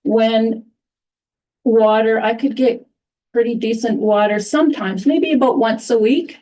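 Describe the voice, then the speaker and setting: A soft-spoken woman addressing a town council during public comment, halting with many restarts and fillers. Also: fairly high pitch, fairly even intonation, distant mic, low-quality recording.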